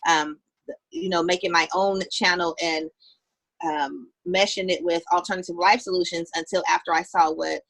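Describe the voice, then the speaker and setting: A woman's voice: fairly low pitch, steady tone, moderate pace, creaky, a bit bright, poor audio. An American woman chatting casually, with an encouraging, inquisitive way of talking. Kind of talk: casual chat.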